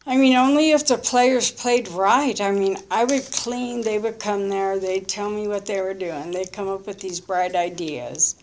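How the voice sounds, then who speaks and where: A woman in her early fifties giving a candid, unscripted personal testimony in short, halting, reflective phrases. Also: mid-range voice, a little mellow, a little hiss or hum, low-quality recording. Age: early 50s. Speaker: recovering alcoholic sharing her story.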